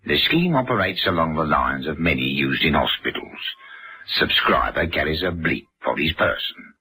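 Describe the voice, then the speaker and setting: A man's voice: a bass voice, animated, normal speed, bright, forceful tone, breathy edge, full character voice, poor audio. An actor playing an affable, evasive English businessman in a radio drama, effusive and plummy, repeating his words as he digresses. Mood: obliging. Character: affable, fussy, evasive gentleman proprietor of an answering service.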